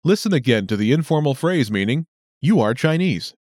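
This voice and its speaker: A man hosting a scripted language lesson with clear, upbeat announcer delivery. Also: medium pitch, big pitch swings, moderate pace, a little mellow, dry recording, clean studio sound. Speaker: lesson host.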